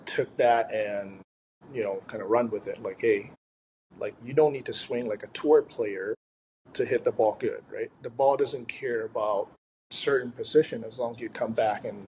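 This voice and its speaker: A man's voice: medium pitch, steady tone, normal speed, a little mellow, a little hiss or hum, poor audio. A man from Thailand, a sports coach chatting casually as an interview guest. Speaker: golf coach, podcast guest.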